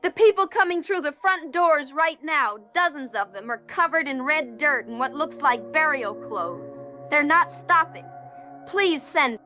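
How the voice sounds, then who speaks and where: A woman's voice: high voice, expressive, measured pace, pushed and bright, faint music behind, heavily acted. A woman playing a frightened, pleading emergency caller trapped in a store, in a horror audio drama with muffled call audio. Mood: panicked pleading.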